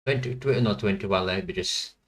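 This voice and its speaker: Male streamer, slightly low voice; halting, self-correcting live commentary with asides to chat. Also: a bit bright. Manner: hesitant, halting, with many fillers and self-corrections; chats to viewers between guesses. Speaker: streamer playing a language-guessing game.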